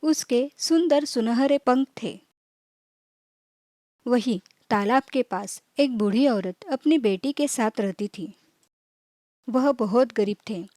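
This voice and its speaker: A woman storyteller with a fairly high but mellow voice reads a scripted children's tale. She phrases it clearly and takes it very slowly, recorded close and dry. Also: dry recording.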